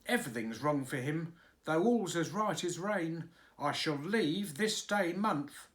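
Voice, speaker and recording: A man's voice: fairly high pitch, lively intonation, unhurried, a bit bright, voice pushed up. Male reader as cook, fussy, complaining, raised voice. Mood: grumbling. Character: grumbling, put-upon woman cook.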